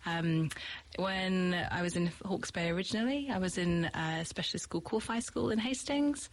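A woman's voice: fairly low pitch, normal speed, rough recording. A middle-aged British woman from the UK, a teacher, chatting in an interview with lots of ums and frequent laughs. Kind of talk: podcast interview.

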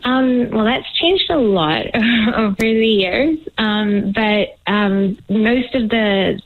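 A woman's voice: fairly high pitch, slow. A woman from Montreal, an author being interviewed by phone, talking casually with many hesitant ums. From Montreal, Canada. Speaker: author guest. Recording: tinny phone line.

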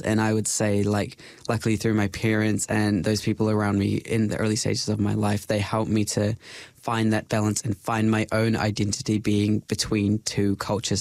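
A young man with a New Zealand accent, a podcast guest talking in a relaxed, thoughtful way with frequent fillers. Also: lowish voice, no room sound. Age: young adult. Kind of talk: casual interview chat.

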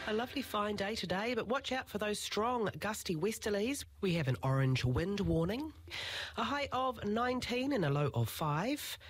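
A woman's voice: mid-range voice, lively intonation, moderate pace. A woman reading the weather for community radio. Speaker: radio announcer. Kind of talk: weather and station announcements.

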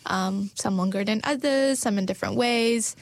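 A woman hosting a conversation, asking her guests questions in a warm, encouraging way. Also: mid-range voice, normal speed, creaky, no echo. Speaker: host. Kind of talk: interview.

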